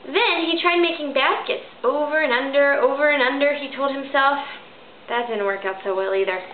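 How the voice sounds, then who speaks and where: A woman in her thirties reads a picture book aloud at home, lively and expressive. Her voice sits a little high. The sound is thin and phone-like, with a roomy echo in a home bedroom. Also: moderate pace, a little hiss or hum. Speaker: storybook reader. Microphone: camera in front.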